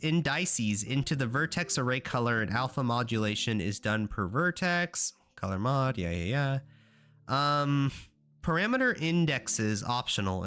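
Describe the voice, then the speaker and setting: A young man live-streaming while he codes, talking loosely to his chat and swearing freely. His voice is bright and lively, jumping up high when he gets excited. Faint music plays underneath.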